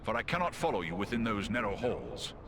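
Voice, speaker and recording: An actor voicing a noble, loyal giant eagle in a fantasy game, grave and courteous, with stately formal speech. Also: male voice, lowish voice, sing-song, brisk, crowd noise. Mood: solemn, courteous. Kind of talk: fantasy video game cutscene acting. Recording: music under voice.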